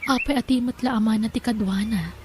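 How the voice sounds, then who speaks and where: A woman's voice: a little high, brisk, noisy background, close to an everyday voice. A woman playing an emotive, jilted young woman in an Ilocano audio drama. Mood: musing. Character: tearful jilted young woman, kind to a child.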